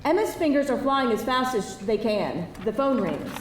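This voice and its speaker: A woman with a fairly high voice narrates a screenplay at a live reading. She delivers scripted stage directions. She sounds distant from the microphone in an echoey room. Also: lively intonation, moderate pace. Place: indoor live table read before an audience. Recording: distant mic, echoey room, faint noise.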